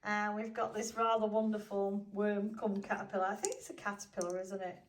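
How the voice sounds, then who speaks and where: British lady chatting away to viewers, bit teasing, mid pitch, sounds off mic. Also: little pitch movement, fairly quick, far from the mic, slight background noise. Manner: chatty, informal, teasing, addresses viewers directly. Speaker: co-host and camera operator of a restoration video. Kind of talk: casual chat to viewers.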